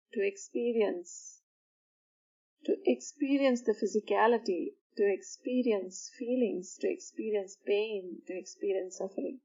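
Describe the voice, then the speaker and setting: A woman guiding a sleep meditation in a soothing, measured manner, pausing between short phrases. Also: a little high, a little mellow, muffled recording. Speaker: meditation guide. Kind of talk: guided meditation for sleep, slow instruction.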